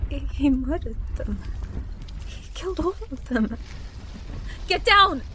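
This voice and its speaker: A woman's voice: sits high, expressive, slow pace, pushed and bright, a little hiss or hum, exaggerated voice, rough recording. A woman playing an anxious, protective, devoted character in an audio roleplay, earnest and emotional. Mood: worried, grieving.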